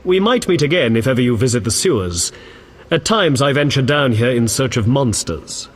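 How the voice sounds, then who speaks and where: Actor as earnest knight, husky, echoing hall. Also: male voice, mid-range voice, animated, moderate pace, close to an everyday voice. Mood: earnest, formal. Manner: earnest, formal. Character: earnest, dignified male knight who hunts beasts. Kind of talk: video game character acting. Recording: long echo of a large hall.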